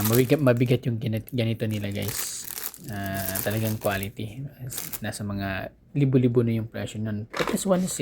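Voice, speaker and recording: A man's voice: lowish voice, creaky, background noise. A man with a Filipino accent giving a casual unboxing and review, informally explaining things step by step to his viewers. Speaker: vlogger, product reviewer.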